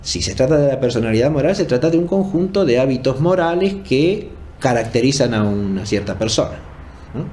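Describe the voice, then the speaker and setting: A man giving an unscripted academic lecture in a formal, didactic and deliberate way, rephrasing as he goes and often checking in with short tag questions. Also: medium pitch, expressive, darkish tone. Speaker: philosophy lecturer.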